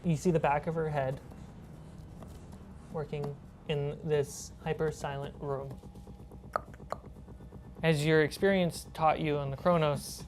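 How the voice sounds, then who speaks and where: A woman's voice: deep voice, steady tone, slow pace, pauses often, background noise. A woman running a tabletop role-playing game as game master, narrating scenes and prompting her players.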